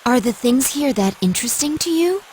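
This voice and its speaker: A woman voicing a calm, serious and quietly curious android, speaking in a measured way in an English anime game dub. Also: high voice, slight background noise. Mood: calm, reflective. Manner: measured, composed.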